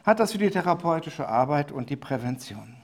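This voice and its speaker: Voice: mid-range voice, big pitch swings, fairly quick. Lecturer reading slides, self-correcting.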